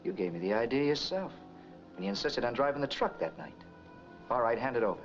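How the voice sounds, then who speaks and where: A man's voice: mid-range voice, sing-song, fast talker, natural voice. A man playing a cold, commanding killer in a 1940s crime film, talking in curt, tough slang. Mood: menacing. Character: cold, menacing male killer and escaped convict in crime film. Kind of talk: 1940s film noir acting. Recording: dull vintage film soundtrack, music behind.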